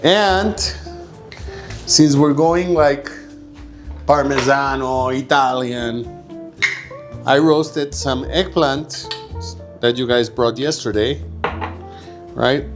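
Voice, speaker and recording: Chatty man cooking, slightly high voice, guitar behind. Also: slow, pauses often. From Egypt. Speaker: home cook demonstrating a recipe. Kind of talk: casual cooking demonstration. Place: kitchen, while cooking. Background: guitar music.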